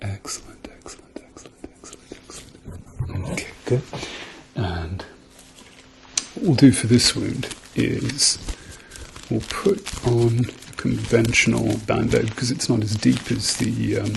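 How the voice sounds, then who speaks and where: A middle-aged man speaks softly as a doctor in an ASMR medical role-play. His voice is creaky and medium in pitch, and he takes his time, murmuring gentle encouragement. There is clear background noise with small clicks. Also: unhurried. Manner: reassuring, murmured repeated encouragement.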